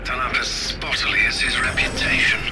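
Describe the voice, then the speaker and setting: A man's voice: medium pitch, level tone, a little fast, close to an everyday voice. A man playing a skeptical, sarcastic research assistant in a video game, with a pedantic, sardonic manner. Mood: doubting. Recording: loud music behind the voice.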